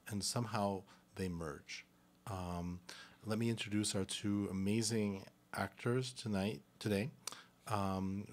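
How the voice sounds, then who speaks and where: A man presenting and narrating a live radio play in a halting, deliberate way. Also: on the low side, darkish tone. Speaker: presenter and stage-directions reader.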